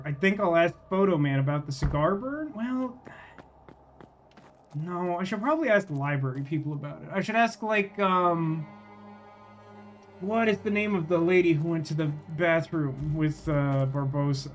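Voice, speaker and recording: A man's voice: fairly high pitch, normal speed, a little hiss or hum. A man doing casual livestream game commentary, thinking aloud in a wry, rambling way as he chats with viewers.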